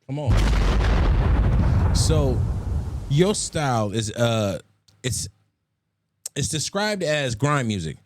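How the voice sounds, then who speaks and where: A man's voice: medium pitch, sing-song, takes it slowly, pauses often, background noise. A man hosting a casual radio interview, speaking in slang with encouraging interjections. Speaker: radio session host interviewing.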